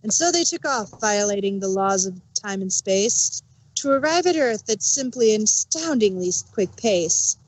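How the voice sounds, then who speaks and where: A woman narrator reading a storybook tale in rhyming verse with an expressive read-aloud cadence. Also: mid-range voice, normal speed, low-quality recording.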